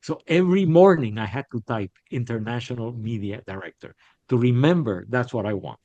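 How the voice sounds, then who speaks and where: A middle-aged man from Puerto Rico, a podcast guest telling stories in a candid, animated way with frequent restarts. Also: mid-range voice, brisk. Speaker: podcast guest, business leader. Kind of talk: casual interview storytelling. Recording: dull, lo-fi sound.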